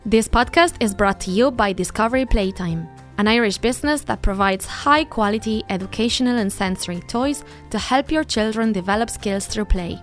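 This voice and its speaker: A woman from Spain in her thirties hosting a parenting podcast, chatting casually and laughing now and then, often trailing off into a softer voice. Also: mid-range voice, moderate pace, hardly any pauses, faint music behind. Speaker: podcast host and business owner.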